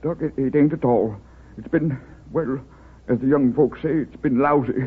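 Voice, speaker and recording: An actor playing a worried, humble small-town boathouse owner in a 1930s radio drama, with a rural American accent and a hesitant, stammering, folksy manner. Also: male voice, medium pitch, normal speed, slightly rough. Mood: reluctant, worried. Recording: muffled, tinny 1930s radio recording.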